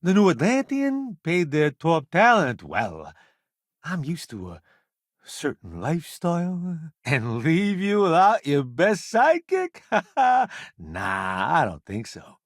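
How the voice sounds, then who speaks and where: An actor playing a vain, chatty celebrity food columnist turned space crew sidekick in a video game, with a theatrical, smug, wisecracking delivery. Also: male voice, a little high, huge pitch swings, slow pace, no room sound, studio quality, full character voice. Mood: smug, charming. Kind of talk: video game companion voice lines.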